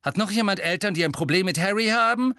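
A man's voice: high voice, sing-song, moderate pace, fairly bright tone, no room sound, clean studio sound, pitched above the performer's own voice. A man voicing a loyal, indignant teenage boy in an audiobook, blunt and pugnacious. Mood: combative. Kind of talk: audiobook character voice.

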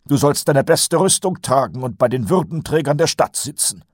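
A man voicing an anxious, dutiful male sergeant in a higher, eager voice, in a dry studio read. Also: expressive, moderate pace, breathy edge, no echo. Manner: eager, higher-pitched, a bit worried.

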